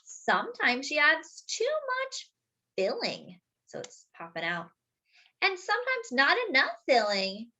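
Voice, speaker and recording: A woman's voice: high voice, wildly swooping pitch, unhurried, sharp tone. A woman hosting story time, reading a picture book expressively for young children, stretching key words and adding simple explanatory asides.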